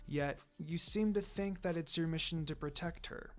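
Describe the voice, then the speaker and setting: Gentle androgynous teen boy, read by a man, soft-spoken, polite, measured storybook delivery over music. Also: fairly high pitch, natural voice. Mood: gently chiding. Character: gentle, pretty teenage boy, mistaken for a girl.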